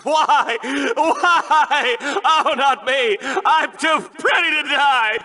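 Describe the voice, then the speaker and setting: A man's voice: extremely high pitch, very slow, pushed and bright, exaggerated voice. An actor playing a flamboyant, vain, manic male announcer in a video game, commentating in a hammy, mock-dramatic way. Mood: mock wailing. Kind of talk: over-the-top video game sports commentary.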